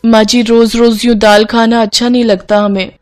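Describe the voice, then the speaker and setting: A woman's voice: on the high side, flat delivery, moderate pace, a little mellow, soft background music, voice pushed up, lightly acted. A woman voicing a fed-up but timid young Indian daughter-in-law, whiny and exasperated, in a story. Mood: complaining. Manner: whiny, exasperated, polite to elders.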